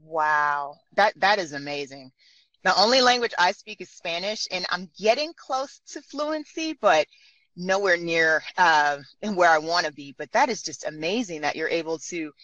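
Woman podcast host, eager interviewer, lower pitch with a bright, tense edge. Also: expressive, moderate pace, no echo, highs cut off. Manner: enthusiastic, laughs often.

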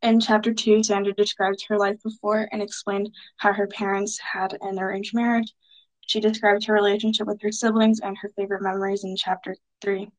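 A woman leads a student book discussion, halting often with filler sounds as she sums up chapters. Her voice sits in the middle of the range. Her pitch stays flat and even. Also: poor audio. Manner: hesitant, many filler sounds.

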